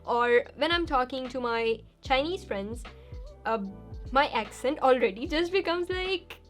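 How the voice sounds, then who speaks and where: Young female vlogger, slightly high pitch, chatty and laughing, faint music bed. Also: animated, a little slow. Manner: chatty, laughs easily, reads questions aloud then answers. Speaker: YouTuber answering viewer questions. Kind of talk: casual Q&A vlog.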